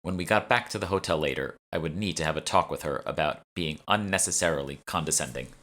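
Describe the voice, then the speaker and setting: Low-voiced man narrating an audiobook. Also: fast talker, fairly bright tone. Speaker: author reading his own book. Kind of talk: scripted first-person audiobook narration.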